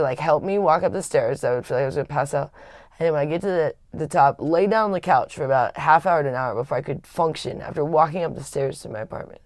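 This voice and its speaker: A woman with a low, raspy voice and a gravelly edge. She talks candidly and wanders as she tells her story, often stopping to restart a sentence. The sound is dry and close. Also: darkish tone, dry recording. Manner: rambling and confiding, with frequent restarts. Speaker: livestream host.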